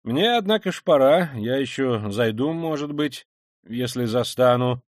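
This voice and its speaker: A man's voice: medium pitch, animated, a little slow, no echo, studio quality, close to an everyday voice. A man voicing a casual, polite male doctor in a storybook, speaking in an offhand way.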